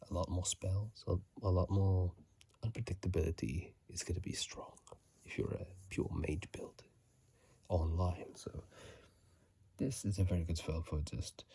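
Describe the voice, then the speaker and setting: Man, ASMR host, hushed informal whisper, low and soft-toned, close dry phone mic. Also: dry recording. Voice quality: breathy whisper. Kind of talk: whispered ASMR game commentary, casual. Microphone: close phone microphone.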